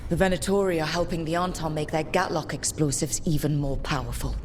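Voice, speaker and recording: An actress playing a composed, direct and sympathetic adventurer in a video game. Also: female voice, deep voice, a little fast, background noise, lightly acted. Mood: businesslike.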